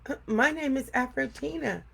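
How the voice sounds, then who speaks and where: A woman's voice: high voice, moderate pace, creaky, a bit bright, breathy edge, voice pushed up, full character voice. A woman voicing a frightened, polite little girl with a tiny pleading voice in a storybook read-aloud.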